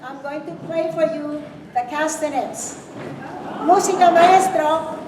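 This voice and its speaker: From far off in a noisy, reverberant hall, a native Spanish-speaking woman performs on stage. Her high voice moves slowly through playful, theatrical banter as she introduces her own act. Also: steady tone. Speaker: talent show performer. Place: church event hall, before an audience. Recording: echoey noisy hall, picked up from afar.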